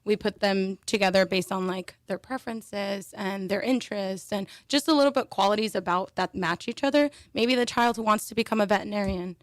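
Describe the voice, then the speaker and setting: A woman's voice: medium pitch, fairly quick, hardly any pauses, no room sound. A young woman guest talking casually in an interview, with many fillers and restarts. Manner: chatty, with frequent fillers and restarts. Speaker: panel guest.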